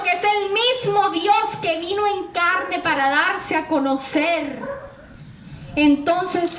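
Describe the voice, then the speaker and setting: A woman preaches with a very high, bright voice at an unhurried pace. She speaks earnestly and emphatically to the listener, leaning on questions and repetition. The sound is echoey. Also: a little hiss or hum. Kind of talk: evangelical sermon addressed to the listener.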